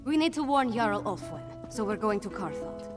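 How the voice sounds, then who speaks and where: A woman's voice: mid-range voice, sing-song, moderate pace, lightly acted. A woman playing a young, resolute and diplomatic princess in a fantasy video game. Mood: urgent. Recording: long hall reverb, music behind. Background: game music.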